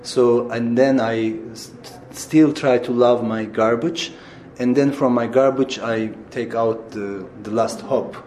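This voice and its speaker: A man from Turkey answers interview questions in Turkish-accented English, halting often and restarting as he searches for words. His voice sits at an ordinary middle pitch. A faint background hiss runs under the recording. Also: steady tone, darkish tone. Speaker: theatre director.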